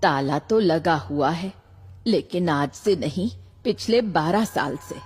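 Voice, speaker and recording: A woman plays an older neighbourhood aunt who knows everything and reproaches. She talks slowly and pointedly in a cartoon horror story, with music underneath. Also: fairly low pitch, expressive, darkish tone, slightly rough, exaggerated voice. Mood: reproachful.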